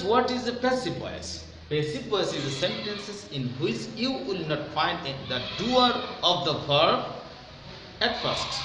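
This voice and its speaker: A man's voice: fairly high pitch, fairly bright tone. A man teaching an English grammar lesson in a didactic, emphatic way, repeating key phrases for his students. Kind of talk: online classroom grammar lesson. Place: echoey room with a whiteboard. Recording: very echoey room, faint noise.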